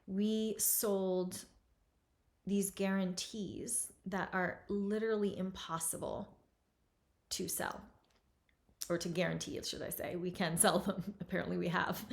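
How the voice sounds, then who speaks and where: Slow, thoughtful woman host from Lebanon. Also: mid-range voice. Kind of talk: reflective event introduction.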